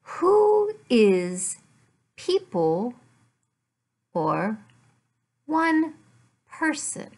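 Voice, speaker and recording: An American woman in her fifties teaching beginner English, speaking deliberately in short, simple, repeated phrases with pauses, recorded at home on a laptop microphone. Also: a little high, huge pitch swings, a little mellow. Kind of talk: beginner language lesson, addressed to learners. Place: small furnished living room at home.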